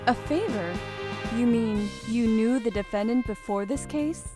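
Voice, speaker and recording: A woman's voice: fairly high pitch, a little slow, over music, close to an everyday voice. A woman acting a composed, encouraging senior attorney in a game fandub. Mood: calm, curious.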